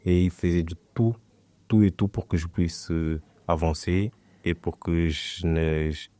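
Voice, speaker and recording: A young man from Portugal whose first language is Portuguese, talking as a guest in a relaxed, hesitant way and often restarting his phrases. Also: low voice, unhurried, dry recording. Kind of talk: casual conversation.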